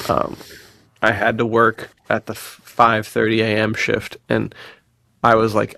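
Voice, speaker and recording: A man with a fairly low, creaky voice, recorded close and dry. He chats casually like a podcast host among friends, telling stories in loose slang and acting out little bits of dialogue. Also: unhurried, dry recording. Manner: slangy, animated storytelling with quoted dialogue and frequent laughter. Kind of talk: casual chat, telling personal anecdotes.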